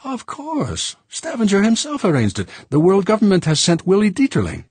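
Excitable man, pitched well up, put on by a male narrator, dry close sound. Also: moderate pace, slightly rough, no room sound, full character voice. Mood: incredulous. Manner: raised, exclaiming. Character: excitable older man, friend of the hero.

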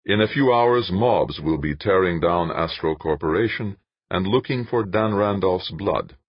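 A smug male villain drawls slowly and condescendingly, calm and menacing, as a male narrator puts him on. The low, husky voice sits in slightly muffled audio. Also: no room sound, heavily acted.

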